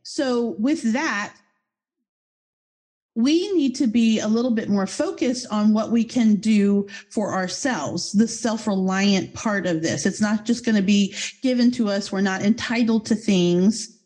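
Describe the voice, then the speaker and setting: A woman's voice: mid-range voice, unhurried, darkish tone. A woman hosting a podcast interview, effusive and a little goofy. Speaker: podcast host.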